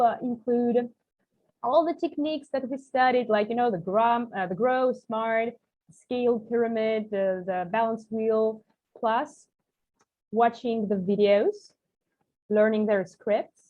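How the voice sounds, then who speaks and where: A woman coach talks fluently and chattily through a narrow, phone-like call line. Her voice sits a little high. She explains her course ideas over a video call. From Armenia, raised in Russia. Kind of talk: online group lesson. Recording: tinny phone-like call audio.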